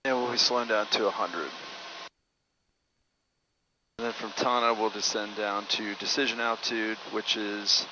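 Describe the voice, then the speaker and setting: A man's voice: on the low side, steady tone, fairly quick. Brief: male pilot narrating in flight, muffled headset sound, light engine hum behind him. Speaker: private pilot. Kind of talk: casual narration while flying and radio readbacks. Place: small airplane cockpit in flight. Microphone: aviation headset. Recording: muffled aviation headset audio, faint engine noise.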